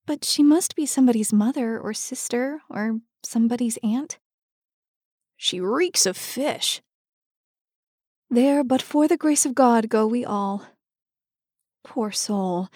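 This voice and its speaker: A woman's voice: fairly high pitch, a little slow, pauses often, no room sound, clean studio sound. A woman voicing gossiping storybook passers-by who murmur with pity and judgement. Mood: pitying, gossipy. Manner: hushed, judging murmur. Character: pitying, gossiping passers-by murmuring about a vagrant. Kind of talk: storybook character voice.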